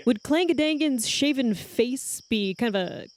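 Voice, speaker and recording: A woman's voice: fairly high pitch, lively intonation, measured pace, pitched above the performer's own voice. A woman as a wry, needling female adventurer. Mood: teasing, gleeful. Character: dry, teasing, easily exasperated female adventurer.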